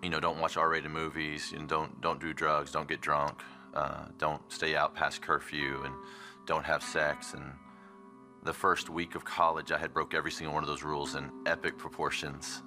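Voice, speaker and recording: A man telling his personal faith testimony in a halting, reflective way, often restarting his phrases. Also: rumbling low, little pitch movement. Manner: halting, many restarts and fillers. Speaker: testimony speaker. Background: guitar music.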